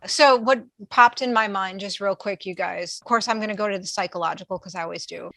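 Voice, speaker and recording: A woman's voice: medium pitch, a little fast, gravelly, a bit bright, no echo. A woman on a panel speaking earnestly in academic terms. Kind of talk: panel discussion.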